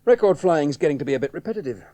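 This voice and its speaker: A man's voice: fairly high pitch, animated, very fast, warm tone, close to an everyday voice. An actor playing a charming, hard-drinking young male pilot in a radio drama, breezy and teasing. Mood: offhand. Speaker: aviator. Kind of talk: 1970s radio drama acting.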